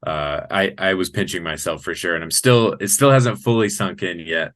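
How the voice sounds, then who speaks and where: A man's voice: low-pitched, moderate pace, a bit bright. A man in his thirties from Colorado with an American accent, talking enthusiastically and earnestly as an announcer being interviewed. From Colorado, United States. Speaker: sports public-address announcer, interview guest. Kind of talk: conversational interview.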